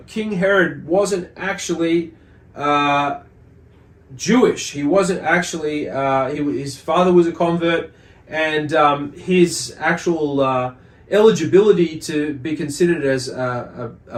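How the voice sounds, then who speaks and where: A man hosting a religious study lesson, explaining and reading commentary in a halting, deliberate way with pauses and repeated words. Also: fairly high pitch. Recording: echoey room sound.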